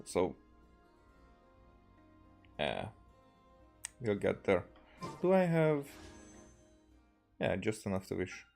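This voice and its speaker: Low-voiced Hungarian man streaming a game. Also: sing-song, creaky, darkish tone, faint music behind. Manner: halting, hesitant, thinking aloud. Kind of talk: casual rambling game commentary.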